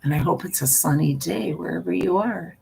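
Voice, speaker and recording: An older woman with a husky voice and a folksy, meandering manner, talking as a storyteller hosting her own live stream. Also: lowish voice, a little fast, a little mellow, a little hiss or hum, rough recording. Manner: folksy, meandering, often trailing off and repeating. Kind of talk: casual rambling chat with viewers; reading a spooky story aloud.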